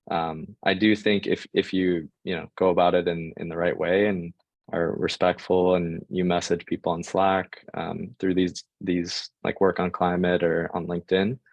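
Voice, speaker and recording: A man's voice: low voice, little pitch movement, normal speed, dry recording, dull audio. A young American man giving informal advice as a panel guest, with hesitant speech full of fillers. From United States. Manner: hesitant, filler-heavy delivery. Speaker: guest sharing job-search advice.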